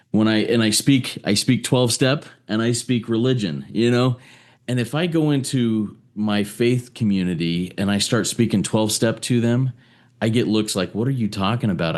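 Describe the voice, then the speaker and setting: Brief: low-voiced male moderator, casual and thoughtful. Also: darkish tone. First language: English. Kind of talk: casual discussion leading.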